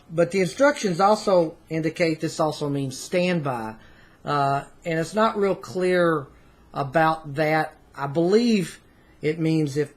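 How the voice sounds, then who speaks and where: A man giving a casual, unscripted product review in a hesitant, rambling way, recorded indoors on a camera mic. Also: on the high side. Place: small indoor room at home.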